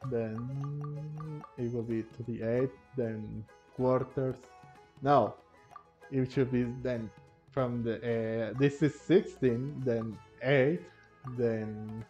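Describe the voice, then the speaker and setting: Slow, halting man commentating over game music. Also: mid-range voice, warm tone. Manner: halting, searching for words, chuckly. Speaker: gamer, video host. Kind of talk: casual gameplay commentary. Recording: dull lo-fi mic, game music behind.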